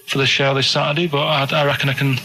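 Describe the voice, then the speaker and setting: A man's voice: fairly high pitch, level tone, quick pace, music in the background, lightly acted. A man playing a casual, evasive fellow in a hesitant, offhand way, in a film-scene parody. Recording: noisy radio clip with ambience.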